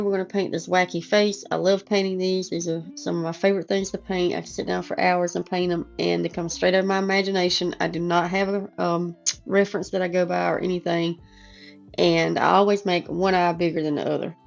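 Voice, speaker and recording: Hoarse gravelly lady chatting thru a painting tutorial, kinda low voice. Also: little pitch movement, a little fast, faint music behind. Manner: casual, chatty, self-deprecating. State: hoarse, losing her voice. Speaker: art teacher. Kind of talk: relaxed painting tutorial voice-over.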